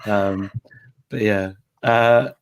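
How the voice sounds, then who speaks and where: A hoarse man hosting a relaxed football podcast. His voice sits fairly low and sounds croaky. He talks in a loose, chatty way, stopping and restarting around his ums. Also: extremely slow. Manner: chatty, hesitant with many fillers. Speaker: podcast host. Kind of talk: casual football podcast chat.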